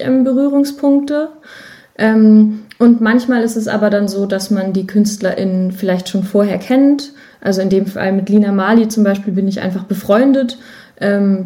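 Casting: female podcast guest, warm mellow timbre, medium pitch, thoughtful conversational explainer. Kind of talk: casual conversational interview answers.

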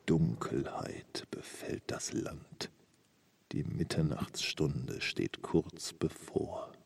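Gravelly, extremely deep horror narrator. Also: male voice, extremely slow, a little hiss or hum, full character voice. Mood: ominous. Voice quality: gravelly, raspy. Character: sinister slow narrator of Halloween night doom. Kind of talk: horror radio play narration.

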